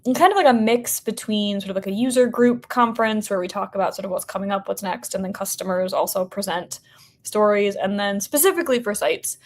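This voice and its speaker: An American woman talks casually as a podcast guest. Her voice sits in a middle register with a noticeable creak. Her phrasing is informal and loose, hedged with "like" and "sort of". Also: mid-range voice. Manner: informal, hedging, frequent "like" and "sort of". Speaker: podcast guest, company representative. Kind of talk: casual interview conversation.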